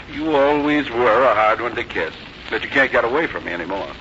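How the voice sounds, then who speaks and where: A man's voice: medium pitch, normal speed, bright, forceful tone, close to an everyday voice. An actor playing a middle-aged American businessman son, hearty, reassuring and coaxing, in a 1950s radio drama. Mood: hearty, affectionate. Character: cheerful, placating grown son, busy city businessman. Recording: muffled 1951 radio recording with hiss.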